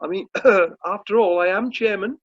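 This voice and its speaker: Male actor as British society chairman: high-pitched, self-important, fussy and halting; amateur radio play, dull lo-fi call audio. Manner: stammering, pompous, fussy. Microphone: video call. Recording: muffled lo-fi video call audio.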